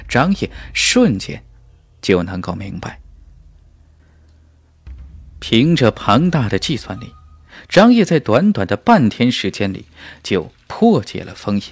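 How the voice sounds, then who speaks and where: A man's voice: medium pitch, dry recording. A man narrating a fantasy novel as a scripted audiobook, with a dramatic storytelling delivery.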